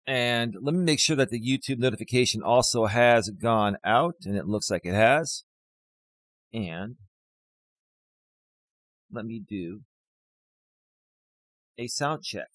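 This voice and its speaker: A man hosting his own live stream as a life coach, talking casually to viewers with a slightly hoarse voice and lots of fillers. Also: mid-range voice, slow pace, pauses often, a bit bright, no echo. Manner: rambling, many fillers, talks straight to viewers.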